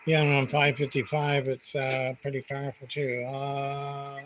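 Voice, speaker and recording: A man's voice: medium pitch, monotone, a little slow, fairly bright tone, low-quality recording. A man hosting a casual livestream demonstration, thinking aloud in a hesitant, rambling, muttering way. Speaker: livestream host, CB radio repairer. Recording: clear background noise.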